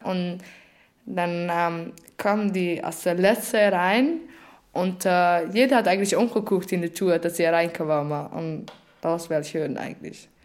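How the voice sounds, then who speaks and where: A woman with a slightly low voice talks spontaneously in non-native German with a foreign accent. She is plain-spoken and sometimes searches for a word. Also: fairly even intonation, unhurried, a little mellow. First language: not German. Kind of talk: spontaneous spoken opinion and retelling.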